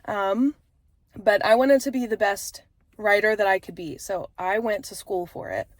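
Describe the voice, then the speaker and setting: A woman's voice: mid-range voice, a little slow, no echo. A woman in her thirties with a stuffy, congested voice, talking frankly and casually to camera with frequent fillers. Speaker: vlogger and author.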